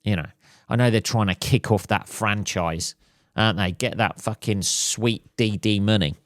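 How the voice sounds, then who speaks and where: A man hosting his own podcast, rambling casually and crudely with lots of swearing. His voice is a little hoarse. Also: lowish voice, normal speed, no echo, clean studio sound. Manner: crude, swears a lot, jokey. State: slightly hoarse. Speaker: podcast host. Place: at home.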